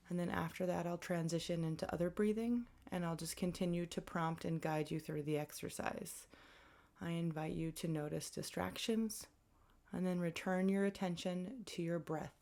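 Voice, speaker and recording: Low, creaky-voiced woman guiding meditation. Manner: gentle, invitational, conversational phrasing. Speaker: meditation guide, counselor. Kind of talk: guided meditation instruction.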